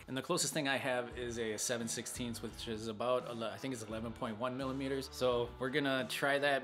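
Easygoing man vlogging over guitar music. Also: mid-range voice. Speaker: host of a DIY review vlog. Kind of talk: casual how-to chat to camera. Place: indoors at home: garage, bar room and bedroom.

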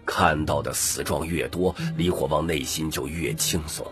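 A man's voice: a bass voice, normal speed, a bit bright. A man narrating an audiobook story in a dramatic, scripted storytelling style. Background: background music.

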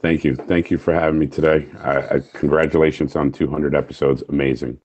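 A man's voice: very deep voice, little pitch movement, brisk, a little mellow, dull audio. A middle-aged man from New York State, a guest on a video call through earphones, candid and jokey. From Orange County, New York. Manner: jokey, candid, laughs easily. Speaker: guest, firefighter. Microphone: earphone headset on video call.

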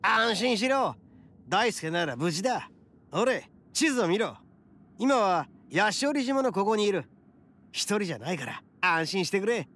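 A man playing a brash, kind-hearted young oni gang boss in an anime game, with rough, swaggering speech. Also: sits high, sing-song, unhurried, fairly bright tone, a little hiss or hum, heavily acted. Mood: reassuring. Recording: game music behind the voice.

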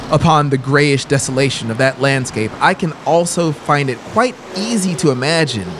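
A man in his thirties narrating a horror story in a deliberate, formal, intense, dramatic way. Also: medium pitch, noisy background. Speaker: audiobook narrator. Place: small brick-walled room studio. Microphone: close boom-mounted broadcast microphone.

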